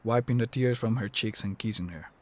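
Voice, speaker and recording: A man's voice: fairly low pitch, fairly quick. A man reading scripted narration for an audio drama.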